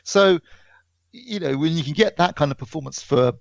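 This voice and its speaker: A man's voice: on the high side, lively intonation, normal speed, gravelly, dry recording, highs cut off. A British man in a casual podcast discussion, talking in a rambling, conversational way. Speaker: podcast reviewer.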